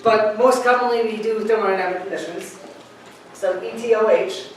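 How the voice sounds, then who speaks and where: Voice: mid-range voice, moderate pace, slight background noise. A university chemistry lecturer giving a classroom lecture, chatty and prone to joking asides. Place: large lecture hall. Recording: echoey lecture hall from far off.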